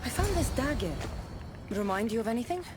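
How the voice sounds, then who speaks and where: A woman's voice: fairly high pitch, big pitch swings, brisk, music in the background. An actress playing a tough, wry female warrior in a video game, speaking in a direct, dry, questioning way. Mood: confident, dry. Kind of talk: video game dialogue acting.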